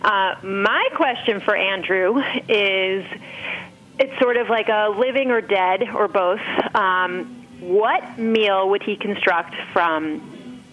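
A woman's voice: mid-range voice, slow, highs cut off. A woman chatting casually as a podcast guest, in a rambling, informal way. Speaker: podcast guest, food writer. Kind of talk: casual chat.

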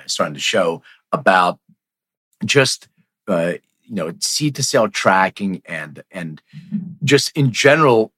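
A man's voice: sits low, fairly bright tone, no room sound. A man hosting a podcast in a casual, rambling conversational interview style. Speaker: podcast host.

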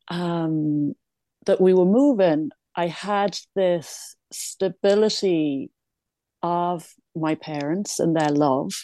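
A woman's voice: low voice, warm tone, dry recording. A woman born in England, now living in Ireland, answering interview questions in a reflective, hesitant way with many restarts and small laughs. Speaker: guest.

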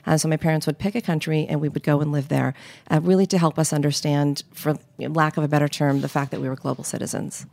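A middle-aged woman from Northern Michigan, a podcast guest telling relaxed, wry stories about growing up. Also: low voice, quick pace, gravelly, dry recording. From Northern Michigan, United States. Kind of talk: conversational interview storytelling.

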